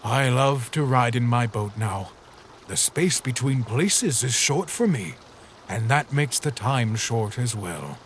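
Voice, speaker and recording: A serene, riddling ferryman who drifts through his words at an unhurried pace, as a man puts him on. His sing-song lines rise and fall with a lot of movement. The recording has faint background noise. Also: medium pitch, lively intonation. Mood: mysterious. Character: cryptic hooded boat ferryman, serene and riddling. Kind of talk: fan comic dub.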